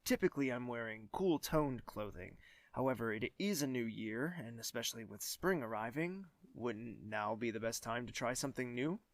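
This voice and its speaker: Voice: medium pitch, lively intonation, moderate pace, no echo, close to an everyday voice. An actor playing a young prince in an audio drama, refined, distracted and hesitant, musing aloud. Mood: earnest, musing. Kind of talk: scripted audio drama acting.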